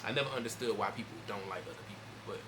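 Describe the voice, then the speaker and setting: A man's voice: fairly low pitch, fast. A man hosting a reaction video, talking loosely and emphatically and speaking straight to his viewers. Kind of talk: casual reaction commentary. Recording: steady background noise.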